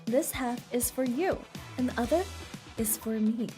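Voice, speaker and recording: A woman's voice: fairly high pitch, measured pace, mellow, faint music behind. A woman streaming a game, chatting playfully and giggling as she reacts to the story. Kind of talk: casual gameplay commentary.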